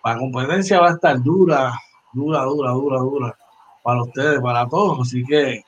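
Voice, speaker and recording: A man's voice: mid-range voice, moderate pace, highs cut off, a little hiss or hum. A man in his forties, a sports podcast co-host, chatting animatedly and repeating phrases. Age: 40s. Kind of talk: casual sports chat.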